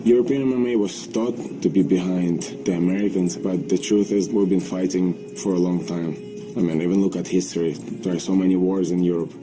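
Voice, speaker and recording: A man's voice: deep voice, fairly even intonation, fairly quick, music in the background, rough recording. A man speaking as a fighter in a confident, assertive way.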